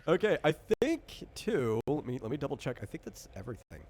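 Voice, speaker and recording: A man with a mid-pitched voice explains tabletop game rules as game master. He is informal and conversational, talking players through the details with casual asides. Background noise and ambience sit faintly behind him. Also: big pitch swings, a little fast, darkish tone.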